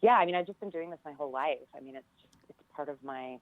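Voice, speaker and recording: A woman's voice: low voice, quick pace, dry recording, narrow, tinny audio. An American woman in her forties chatting casually and telling stories as an interview guest. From California, United States. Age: 40s. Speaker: interview guest, theater professor and director. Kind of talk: casual chat, personal storytelling.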